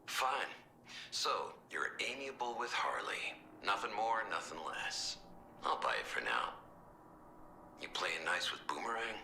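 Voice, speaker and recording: An actor playing a gruff, guarded military squad leader in a video game, terse and suspicious. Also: male voice, on the low side, sing-song, measured pace, sharp tone, reverberant, a little hiss or hum, exaggerated voice. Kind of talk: video game drama acting.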